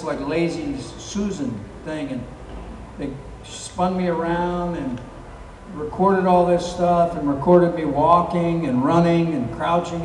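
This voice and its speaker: A middle-aged man answering audience questions on a convention stage, telling stories in a relaxed, rambling way with many fillers and a handheld mic. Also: on the high side, slight background noise. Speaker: convention guest actor. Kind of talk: live stage Q&A. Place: large convention hall, stage. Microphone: handheld mic, filmed from far in the crowd. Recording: echoey hall from far off.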